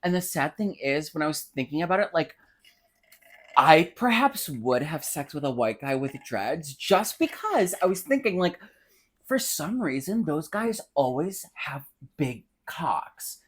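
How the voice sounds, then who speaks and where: A flamboyant American man co-hosts a casual comedy podcast. His delivery is camp and theatrical, and his pitch leaps around with lively, animated swings. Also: a little slow, husky. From American Midwest. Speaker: podcast co-host. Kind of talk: casual comedic chat about fiction.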